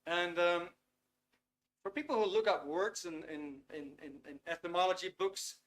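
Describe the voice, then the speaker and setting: A man's voice: sits high, normal speed, fairly bright tone. Chatty Dutch-accented man hosting a webinar. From Netherlands. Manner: chatty, filler-heavy, reads chat messages aloud. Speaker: webinar host. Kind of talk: live webinar lecture. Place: small warm studio under lights.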